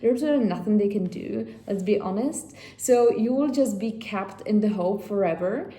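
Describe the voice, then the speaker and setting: A woman from Czechia with a Czech accent, chatting casually to viewers as a vlog host in a rambling, halting way. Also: medium pitch, animated, mellow. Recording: echoey room.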